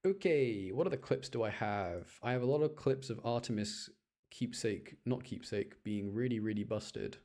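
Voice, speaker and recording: A man giving casual gameplay commentary in an excitable way, repeating phrases for emphasis as he shows clips. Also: mid-range voice, normal speed, creaky. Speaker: gamer showing gameplay clips.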